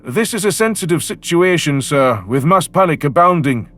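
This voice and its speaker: Sci-fi story guard acted by a man: higher male voice, wary, officious, by the book, wearily exasperated. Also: lively intonation, moderate pace, a bit bright, soft background music. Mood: formal, wary.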